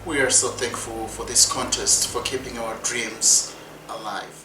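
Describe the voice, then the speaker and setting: A man from Zimbabwe and South Africa telling his and his wife's story in a measured, hesitant way. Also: mid-range voice, fairly even intonation. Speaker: husband sharing their infertility story. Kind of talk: personal storytelling. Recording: roomy, distant, noisy.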